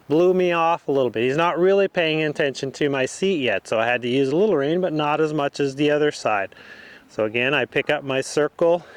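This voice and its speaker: A man giving calm, explanatory riding instruction as he rides in an outdoor arena. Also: mid-range voice, clean tone. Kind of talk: instructional commentary while riding.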